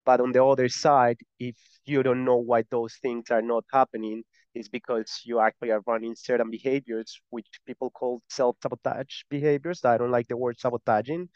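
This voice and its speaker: A man's voice: medium pitch, little pitch movement, moderate pace, darkish tone, smooth, dry recording, dull audio. A man with a strong Spanish accent and Spanish as his first language, explaining earnestly as an interview guest, often repeating words as he goes. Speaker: guest, breathwork and somatic practitioner.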